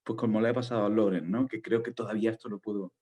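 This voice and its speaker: A man with a Spanish accent, a native Spanish speaker, answering as a playwright in a post-show Q&A, halting as he searches for words. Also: on the low side, steady tone, warm tone.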